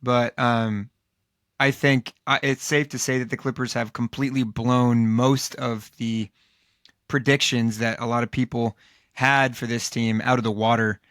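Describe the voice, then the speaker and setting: A man with a hoarse, worn voice co-hosts a casual sports podcast. He rambles and restarts, piling up fillers at a middling pitch. The recording is close and dry. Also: little pitch movement, unhurried, fairly bright tone, no room sound. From Los Angeles area, United States. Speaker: podcast co-host.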